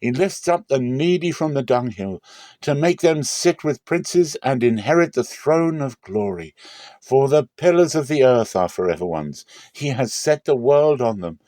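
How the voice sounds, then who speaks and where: A man voicing a rejoicing woman praying in triumph in a dramatic scripture reading, proclaiming with slow stressed phrases. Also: mid-range voice, animated, warm tone, no echo, pitched above the performer's own voice, close to an everyday voice. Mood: exultant.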